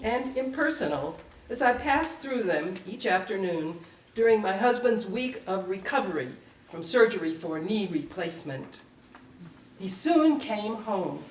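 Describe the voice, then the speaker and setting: An older woman reads a personal essay in a slow, deliberate way, her pitch moving expressively through each literary phrase. The sound is roomy, as from a microphone some way off. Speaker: writer reading her own piece. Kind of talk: dramatic reading of a personal essay. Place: library event room, live reading. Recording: roomy, distant mic, faint noise.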